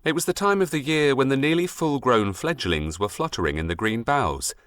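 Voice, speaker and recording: A man narrating a scripted storybook in an expressive, measured, literary storytelling manner. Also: mid-range voice, dry recording, clean studio sound. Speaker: audiobook narrator.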